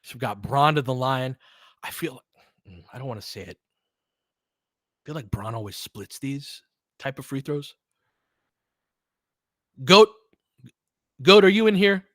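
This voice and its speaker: A man doing live basketball play-by-play as an excitable, partisan fan, chatting casually with his viewers. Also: medium pitch, unhurried, long pauses, dry recording, clean studio sound. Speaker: fan play-by-play commentator, streamer.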